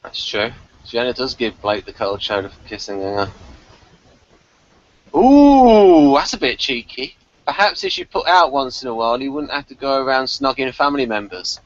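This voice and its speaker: A man with a mid-pitched, lively, swinging voice chats through a review on a muffled lo-fi recording. He is wry and nitpicky, and often hesitates as he gathers his next remark. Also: moderate pace, dry recording. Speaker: podcast co-host reviewing a TV episode. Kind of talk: casual review chat.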